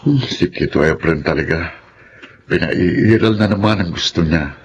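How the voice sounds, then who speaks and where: A sensible elder cousin in a Filipino radio drama. His voice is fairly low and concerned, advising conversationally over faint noise. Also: moderate pace.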